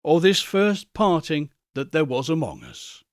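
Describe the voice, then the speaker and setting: A man voicing a gentle, humble father speaking tenderly to his family in an audiobook reading. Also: on the high side, huge pitch swings, unhurried, dry recording, clean studio sound. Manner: tender, soothing.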